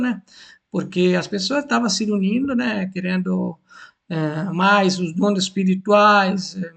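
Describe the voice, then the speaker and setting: A man from Italy with an Italian accent, giving an informal Bible lesson in a halting, repetitive way with frequent tag questions. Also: sits high, creaky. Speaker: Bible teacher. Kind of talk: informal Bible teaching to camera.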